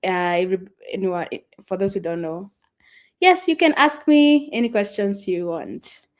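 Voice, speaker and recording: A young Kenyan woman with a Kenyan accent, whose first language is Swahili, chatting casually and rambling with fillers and soft laughs as she hosts a travel livestream from a friend's house. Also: medium pitch, sing-song, normal speed. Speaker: travel vlogger hosting a livestream. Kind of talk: casual livestream chat with viewers.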